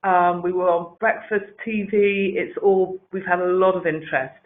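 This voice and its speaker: A woman's voice: on the low side, steady tone, moderate pace. A woman speaking as a wellbeing director, giving an earnest, fluent webinar presentation with frequent ums. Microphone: video call. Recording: tinny webinar audio.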